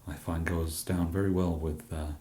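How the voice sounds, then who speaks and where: An English man in an ASMR roleplay as a shop genius, speaking softly and hesitantly with many fillers and a dry, self-deprecating humour. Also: low-pitched, level tone, moderate pace, echoey room. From England.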